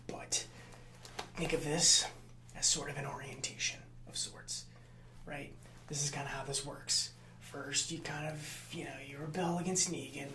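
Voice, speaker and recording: A man playing a nice, easily hurt assistant to a gang leader in an ASMR roleplay, speaking softly with hesitant, placating stammers. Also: a little high, echoey room, noisy background, lightly acted. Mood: reassuring, explanatory.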